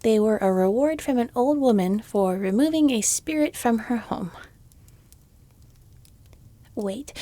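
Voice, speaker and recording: A woman acts out a weary female shopkeeper from a fantasy audio drama. Her voice sits a little high and crackles with vocal fry. She is reluctantly polite, with a dry, exasperated edge and a habit of sardonic muttering. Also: warm tone, dry recording. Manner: dry, sardonic, muttering asides under the breath. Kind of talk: scripted fantasy audio roleplay.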